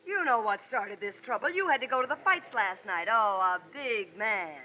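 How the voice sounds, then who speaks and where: An actress playing a crook's sharp-tongued, nagging wife in a 1950s radio drama. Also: female voice, a little high, animated, moderate pace. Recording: dull old radio recording.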